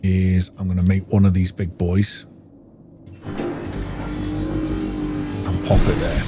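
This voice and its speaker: A man's voice: low-pitched, fairly even intonation, slow pace, noisy background. A man giving casual gameplay commentary, thinking aloud in an informal way. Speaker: gaming video host.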